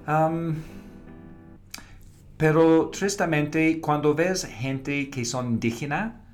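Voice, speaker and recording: A middle-aged man, a native English speaker with a slightly British accent, speaks slowly and hesitantly as a podcast guest, slipping in English fillers. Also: fairly high pitch, soft background music. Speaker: podcast guest, advertising professor. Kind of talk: casual interview conversation.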